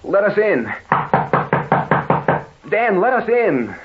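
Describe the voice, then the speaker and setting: An actor playing an earnest, soothing, firm brother in an old-time radio horror drama. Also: male voice, high-pitched, very animated, slow pace, full character voice. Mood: urgent. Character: steady, reassuring brother calming his frightened sister. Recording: muffled, faintly noisy old radio recording.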